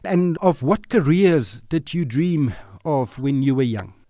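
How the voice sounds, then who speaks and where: A man with a fairly high voice hosts an interview. He opens with a scripted introduction and then moves into conversational questions. The recording is dry and close. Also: expressive, a little slow, mellow, no echo. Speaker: interview host.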